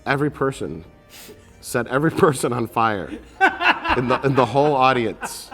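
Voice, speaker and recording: Male co-host, forties, lively pitch, rambling laugh-filled riffing, faint music under. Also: moderate pace. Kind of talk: casual movie chat. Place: dim studio set with curtains.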